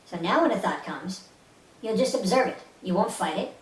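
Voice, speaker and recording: A woman's voice: mid-range voice, animated, normal speed. A woman leading a guided meditation as an instructor, speaking in a soothing, instructive way with short repeated phrases. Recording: roomy, distant mic with faint hiss.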